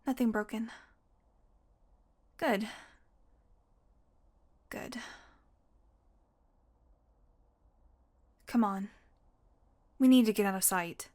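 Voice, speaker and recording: A woman's voice: medium pitch, slow pace, long pauses, a little mellow, close to an everyday voice. A woman playing a sardonic, flirty female vampire in an intimate audio roleplay, talking casually and teasingly. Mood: strained, urgent.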